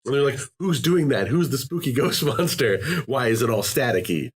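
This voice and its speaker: A man's voice: medium pitch, very animated, moderate pace, husky. A man hosting a casual podcast chat, rambling with lots of fillers and restarts. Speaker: podcast host.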